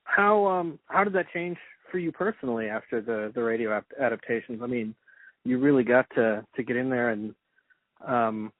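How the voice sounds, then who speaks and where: A man's voice: mid-range voice, moderate pace, no room sound. A man interviewing a guest casually, putting his questions in a tentative, hesitant way. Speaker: interviewer, podcast host. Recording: muffled, phone-like narrow-band recording.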